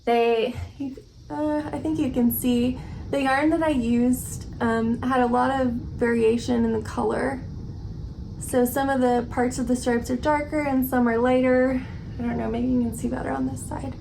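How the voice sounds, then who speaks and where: A young woman from Oklahoma hosting a casual knitting vlog at home, relaxed and slightly scratchy from allergies, with lots of ums and soft laughs. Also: high voice, normal speed, vocal fry. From Oklahoma, United States. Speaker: knitting podcast host. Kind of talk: casual chat to camera. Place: at home, indoors.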